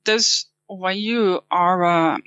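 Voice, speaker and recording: Guest interview: woman, Dutch accent, bright and clear, dry close sound, slightly dull lo-fi audio. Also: fairly low pitch, very slow, no echo. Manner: thoughtful, with frequent restarts and light laughs. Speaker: guest, copywriting teacher. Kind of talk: casual interview chat.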